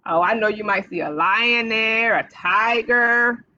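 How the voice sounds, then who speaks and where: A woman hosting storytime for young children, reading aloud in an animated, sing-song way and putting questions straight to the kids. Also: on the high side, unhurried. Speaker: library storytime host for children. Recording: dull, lo-fi livestream sound.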